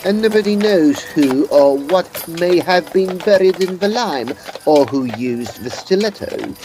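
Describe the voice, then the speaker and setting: A man's voice: high-pitched, animated, measured pace. A man playing a grave, inquisitive Edwardian occult detective in an audio drama, formal and probing. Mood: inquisitive, intent. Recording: clear background noise and clip-clop. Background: horse's hooves of a cab.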